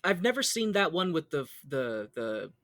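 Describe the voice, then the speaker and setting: A man's voice: a little high, sing-song, moderate pace, a bit bright, dry recording. A man co-hosting a podcast, casually retelling a story in a jokey, conversational way. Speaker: podcast co-host. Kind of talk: casual retelling of a stage musical.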